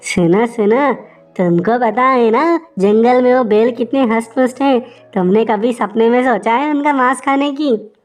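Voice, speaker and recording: Lady doing a sneaky braggy girl wolf for a kids story, high pitched n taunting. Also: measured pace, darkish tone, faint music behind, lightly acted. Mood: scheming. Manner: taunting, theatrical. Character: cunning boastful female wolf, scheming and gloating.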